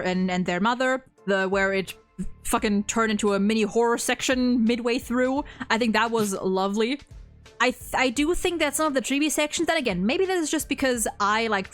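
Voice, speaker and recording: A young woman chatting casually as the host of a gaming discussion, informal and sweary, gushing like a fan. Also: fairly high pitch. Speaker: host of a video game ranking discussion. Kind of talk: casual chat.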